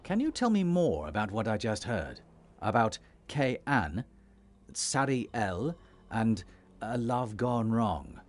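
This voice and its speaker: Low-voiced, animated warrior leader. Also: male voice, takes it slowly. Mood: curious. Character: commanding male warrior leader, measured and decisive. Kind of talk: fantasy video game voice acting.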